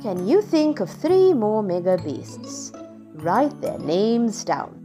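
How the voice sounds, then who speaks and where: A woman narrating a scripted children's word list and quiz questions in deliberate, separated phrases. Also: mid-range voice, sing-song, music in the background.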